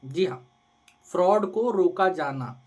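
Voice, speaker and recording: A man's voice: sits high, lively intonation, slow pace, darkish tone. A man with a sore throat, explaining things informally and reassuringly, often asking and answering his own questions. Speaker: loan advice video host. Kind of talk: informal explainer to camera audience.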